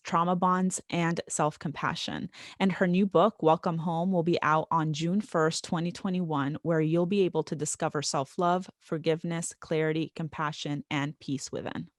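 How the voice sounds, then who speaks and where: Therapist-host, woman, lower pitch, dry studio sound. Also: steady tone, normal speed, hardly any pauses, no echo. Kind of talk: podcast interview.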